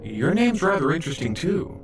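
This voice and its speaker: A man's voice: on the high side, very animated, fairly quick, music in the background. A man playing an earnest young robot trainee medic who narrates his story in an audio drama. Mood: friendly, shy. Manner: earnest, explanatory.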